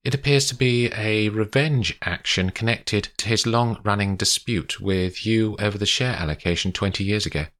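A man voicing a calm, professional woman lawyer who speaks in a measured, precise and polite way. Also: lowish voice, voice pushed up, natural voice. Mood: matter-of-fact.

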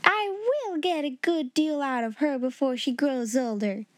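A woman's voice: high voice, measured pace, dry recording, voice pushed up. A woman voicing a stern, furious schoolmistress from a storybook, speaking in a fierce, commanding and bitterly ironic way. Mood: angry. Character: stern, furious, hard-hearted middle-aged schoolmistress. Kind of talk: storybook character voice.

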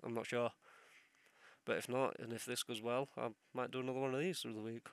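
A man's voice: mid-range voice, level tone, brisk, gravelly, no room sound. A young man hosting a solo podcast while ill, with a sore throat and sniffles, rambling and swearing as he loses his train of thought. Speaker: podcast host.

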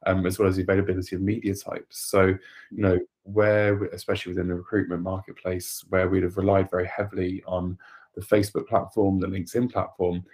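A man from Wales with a Welsh accent, a guest explaining his work in a relaxed way with some stumbles. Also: sits low. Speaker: guest, agency director. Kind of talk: casual interview talk.

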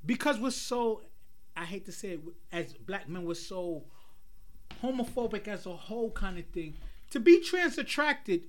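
Young guy hosting a podcast chat, high lively voice, bright tone. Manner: animated, asks the panel questions. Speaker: podcast host. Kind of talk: casual group chat.

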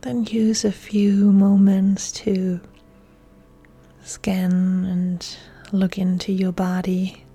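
A woman yoga instructor leading a guided relaxation in a soft, breathy, soothing, hushed voice that often nears a whisper. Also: mid-range voice, monotone, very slow.

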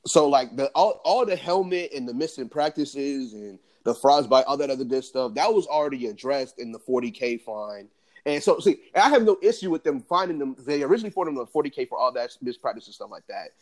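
A man co-hosting a sports podcast, talking excitedly in casual slang. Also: mid-range voice, a little fast. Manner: excited, animated, lots of slang. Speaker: podcast co-host.

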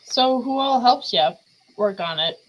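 A woman's voice: fairly high pitch, animated, a little slow, dull audio, slight background noise. A chatty woman hosting a casual talk show, laughing often as she asks questions. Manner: giggly, chatty. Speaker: podcast host. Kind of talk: casual chat.

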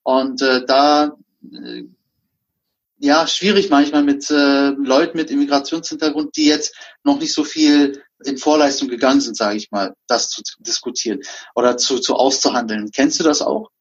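A man's voice: medium pitch, steady tone, normal speed, breathy edge. A man from Berlin in a casual conversation, telling work stories and acting out the dialogue. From Berlin, Germany. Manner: anecdotal, acts out dialogue. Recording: dull lo-fi sound.

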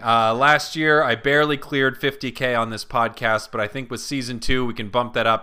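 A man's voice: mid-range voice, sharp tone, clean tone. A young man hosting a comedy podcast, joking playfully and reading a post aloud. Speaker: podcast host. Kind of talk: casual comedic podcast chat.

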